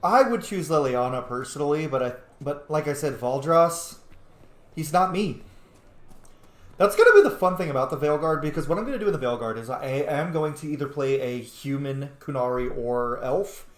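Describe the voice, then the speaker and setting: A man's voice: a little high, lively intonation, normal speed. A man doing casual gameplay commentary as a streamer, chatty and playful, often correcting himself. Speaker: video game streamer. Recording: echoey room.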